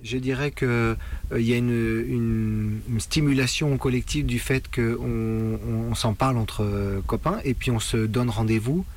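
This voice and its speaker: A man's voice: medium pitch, fairly even intonation. A middle-aged man from France reminiscing in a documentary interview, searching for words and repeating himself as he goes. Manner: halting, searching for words with repetitions. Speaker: punk musician interviewee. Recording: loud music behind the voice.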